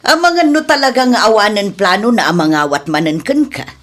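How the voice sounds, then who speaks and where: A woman playing a frank, teasing older mother-in-law in a radio comedy drama, with an Ilocano accent and expressive interjections. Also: mid-range voice, fairly quick, natural voice. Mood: frank, exasperated.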